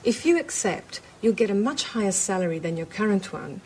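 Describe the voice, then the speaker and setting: An actress playing a confident, persuasive businesswoman, formal and smoothly pushy in scripted dialogue. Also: medium pitch, expressive, brisk, a little mellow, a little hiss or hum, close to an everyday voice, poor audio. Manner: formal, assured.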